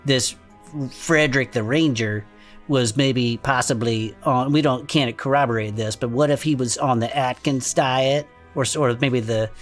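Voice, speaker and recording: A woman's voice: extremely low pitch, normal speed, faint music behind. A woman playing a wry, skeptical sidekick, reacting to tall tales in comic podcast banter. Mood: wry theorizing. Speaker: podcast co-host.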